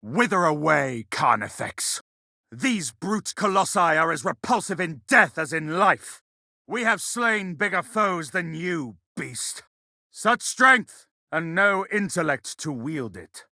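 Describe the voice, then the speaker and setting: An actor playing a fierce, scornful space marine who declaims sharply in a video game. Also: male voice, a little high, lively intonation, takes it slowly, vocal fry, sharp tone, no echo, clean studio sound, full character voice. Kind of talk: video game combat barks.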